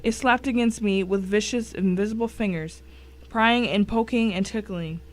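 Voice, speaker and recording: A woman's voice: medium pitch, moderate pace, close to an everyday voice, low-quality recording. Woman as a tense suspense story narrator. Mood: grim, suspenseful.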